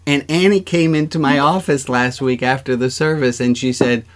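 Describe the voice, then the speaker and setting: A man's voice: mid-range voice, fairly even intonation, normal speed. A man with a mid-range voice leads an informal religious gathering over a video call as its rabbi. He talks conversationally and keeps turning to the group with questions. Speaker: rabbi leading an online service. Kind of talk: informal interactive group service talk.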